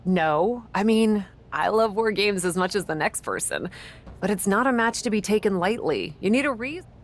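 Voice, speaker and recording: A woman's voice: medium pitch, very animated, normal speed, close to an everyday voice. An actress playing a brash, vain young woman who manages wrestling shows, with a smug, sardonic, theatrical delivery in a video game cutscene. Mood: sardonic, firm.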